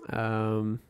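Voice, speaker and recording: A man in his twenties from Missouri, co-hosting a podcast and telling stories in a relaxed, chatty way with frequent chuckles. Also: fairly low pitch, clear, resonant tone, no echo. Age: 20s. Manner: laid-back storytelling with frequent chuckles. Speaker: podcast co-host. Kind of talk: casual chat.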